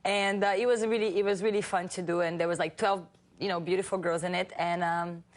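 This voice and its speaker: A woman with a Brazilian Portuguese accent and Portuguese as her first language, a talk show guest telling stories in a giggly, animated way. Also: fairly low pitch, fairly quick. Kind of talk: casual chat.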